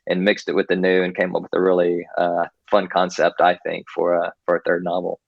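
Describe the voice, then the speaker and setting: A man's voice: low voice, monotone, brisk, vocal fry, no echo. A man from Texas, an author talking casually in an interview, rambling with many restarts and fillers. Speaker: author guest. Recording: dull lo-fi, dry.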